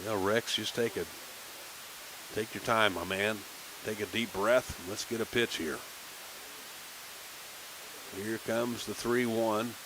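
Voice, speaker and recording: A man calling a minor league baseball game on local radio, chatting casually between pitches. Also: takes it slowly, long pauses, noisy background. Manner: relaxed folksy chatter between pitches, rising excitement on big plays. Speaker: play-by-play announcer.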